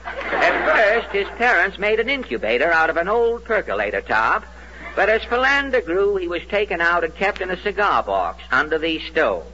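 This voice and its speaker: A man's voice: high voice, sing-song, normal speed, sharp tone. An American man narrating a scripted 1940s radio comedy in a mock-solemn, declamatory way. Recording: dull old radio broadcast.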